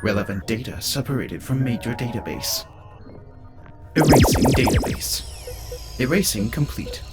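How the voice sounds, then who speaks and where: A man's voice: medium pitch, expressive, a little slow. A man voicing a cold computer system in an audio drama, giving clipped, procedural status announcements. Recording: music behind the voice. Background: music.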